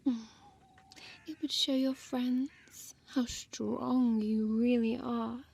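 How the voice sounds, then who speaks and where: A woman plays a female vampire toying with her prey in an intimate audio roleplay. Her voice is fairly high and breathy, often sinking into whispers. She speaks extremely slowly, mocking and condescending, with a seductive predator's menace. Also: little pitch movement, darkish tone, heavily acted. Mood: feigned surprise, taunting. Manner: slow, teasing, condescending, formal diction.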